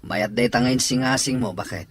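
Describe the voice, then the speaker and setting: A medium-pitched voice. A man plays a long-suffering young farmer brother in an audio drama, earnest even when he protests. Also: moderate pace, husky, dry recording, natural voice. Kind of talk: family radio-drama acting.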